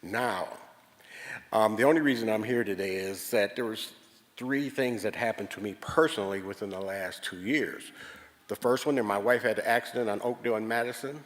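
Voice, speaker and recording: A man's voice: lowish voice, normal speed. Plainspoken older man in a hall. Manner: earnest, plainspoken storytelling. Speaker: resident giving public comment. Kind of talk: public comment at a meeting. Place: large public meeting hall. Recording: reverberant hall.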